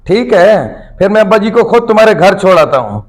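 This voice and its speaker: An actor playing a callous, irritable grown son in an audio drama, curt and unfeeling. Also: male voice, sits high, big pitch swings. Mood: annoyed. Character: callous, irritable grown son begrudging his old father. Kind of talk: Urdu moral audio drama acting.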